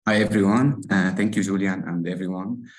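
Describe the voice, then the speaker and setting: A man from Syria introducing his media lab on a panel, pausing often with halting fillers. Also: deep voice, steady tone. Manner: halting, frequent "uh" pauses.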